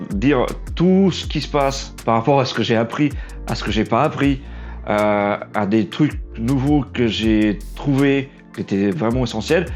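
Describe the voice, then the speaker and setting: A young man with a Portuguese accent talks loosely to camera in French, tripping over words and circling back as he goes. His pitch sits in an ordinary middle range, his voice sounds a bit worn, and faint music plays under him. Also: darkish tone. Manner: halting, stumbles and restarts often, self-deprecating. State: losing his voice. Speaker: aspiring trailer editor, vlog host. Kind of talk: unscripted casual vlog monologue.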